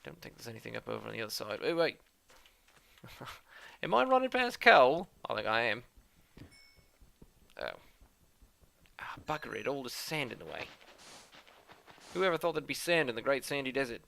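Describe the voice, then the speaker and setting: A man's voice: mid-range voice, big pitch swings, moderate pace, gravelly, long pauses, bright, forceful tone, a little hiss or hum, exaggerated voice. A man putting on a jokey mock-Australian drawl. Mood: playful. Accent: put-on Australian, based on a New Zealand acquaintance. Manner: playful, self-mocking, rambling. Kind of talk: let's-play commentary.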